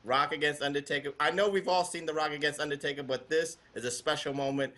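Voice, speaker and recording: A man's voice: a little high, fairly even intonation, quick pace, sharp tone. A man on a casual wrestling talk panel, speaking with enthusiasm about dream matches.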